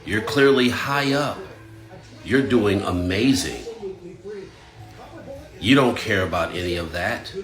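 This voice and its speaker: Deep-voiced sarcastic man over music. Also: lively intonation, slow, long pauses. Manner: sarcastic, dismissive. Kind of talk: response video commentary. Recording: echoey room with loud music.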